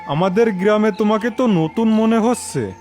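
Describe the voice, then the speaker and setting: Village landlord played by a man, worried and puzzled, high cartoon voice with music behind. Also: normal speed, a little mellow, lightly acted. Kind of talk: cartoon fairy-tale voice acting.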